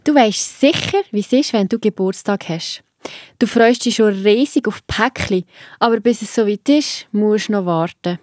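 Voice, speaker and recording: A woman's voice: mid-range voice, moderate pace, darkish tone, no echo, clean studio sound. A woman with a Swiss German accent narrating a children's Bible story in a scripted storytelling style.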